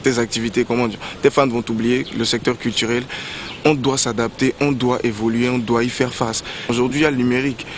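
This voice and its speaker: Male artist interview, even flat delivery, relaxed and halting, street traffic behind. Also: mid-range voice. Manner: relaxed, halting with many restarts. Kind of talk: casual interview answer. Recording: outdoor street recording with traffic noise.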